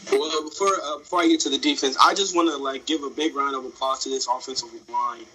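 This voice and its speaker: A man's voice: medium pitch, steady tone, fast talker, sharp tone, a little hiss or hum, rough recording. A fifteen-year-old boy co-hosting a casual sports podcast, talking hesitantly with lots of ums and restarts. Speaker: podcast co-host.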